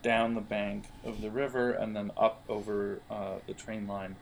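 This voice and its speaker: Creaky-voiced man running a tabletop game. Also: fairly low pitch, little pitch movement, measured pace, background noise. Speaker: game master. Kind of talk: narrating an audio tabletop role-playing game.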